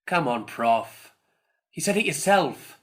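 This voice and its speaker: A man playing a casual, questioning teenage mutant in an audio drama, with a husky voice. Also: on the high side, animated, slow pace.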